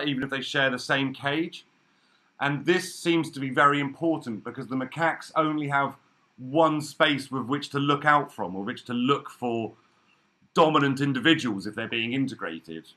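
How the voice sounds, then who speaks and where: A man in his thirties giving a relaxed, chatty workshop talk over a video call from an office room, with frequent ums. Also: mid-range voice. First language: English. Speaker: workshop speaker. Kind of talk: casual presentation. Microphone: laptop webcam video call.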